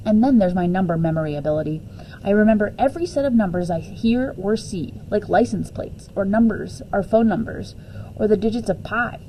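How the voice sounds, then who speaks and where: A woman's voice: mid-range voice, dark tone, a little hiss or hum, low-quality recording. A woman reading a children's novel aloud expressively in the first person, as a storyteller. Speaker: teacher reading a book aloud.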